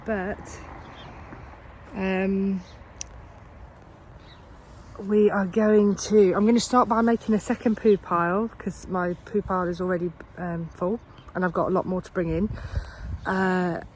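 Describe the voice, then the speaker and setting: A woman's voice: medium pitch, flat delivery, a little slow, a little mellow, low-quality recording. Woman with a cold rambling away on her allotment, lots of ums, bit of outdoor hiss. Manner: chatty, rambling, many ums and restarts. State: has a cold. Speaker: gardening vlogger. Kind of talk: casual vlog chat.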